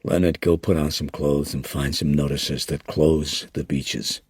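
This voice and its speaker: A man voicing a weary, guilt-ridden small-town police chief with a gruff manner. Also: a bass voice, steady tone, measured pace, darkish tone, dry recording, clean studio sound, pitched below the performer's own voice, exaggerated voice. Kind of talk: audiobook character reading.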